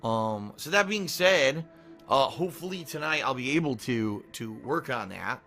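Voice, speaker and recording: A man with a hoarse, strained voice that still sounds bright and tense, talking casually to his stream audience. He rambles loosely, clears his throat often and keeps tagging on "okay?" as he talks. Faint music plays underneath. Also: medium pitch, lively intonation, moderate pace. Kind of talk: casual pre-stream schedule talk to chat.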